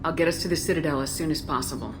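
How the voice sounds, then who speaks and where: A man's voice: a little high, racing, natural voice. Man playing a direct commander, noisy background. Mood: steady, pragmatic. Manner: plain-spoken, probing questions. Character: direct, concerned male military commander. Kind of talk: video game dramatic dialogue.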